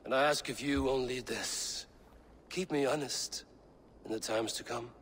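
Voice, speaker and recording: A solemn Viking jarl voiced by a man in a video game. He speaks earnestly and slowly, as to his people, with clear ambient noise around him. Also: mid-range voice, fairly bright tone, natural voice. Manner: slow, earnest oratory. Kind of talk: dramatic video game acting.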